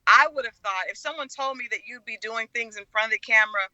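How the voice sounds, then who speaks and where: Bright, forceful middle-aged American woman. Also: on the high side, fast talker, dry recording, muffled recording. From Oklahoma, United States. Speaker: talk show guest, media personality and relationship expert. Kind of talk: conversational interview, personal storytelling.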